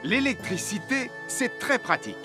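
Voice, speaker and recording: A kindly children's cartoon firefighter. His voice sits high for a man and moves with lots of melody as he gives clear, encouraging safety lessons. Music plays behind him. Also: sing-song, moderate pace. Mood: friendly, instructive. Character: friendly adult male firefighter teaching children safety. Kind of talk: animated cartoon dialogue, instructional.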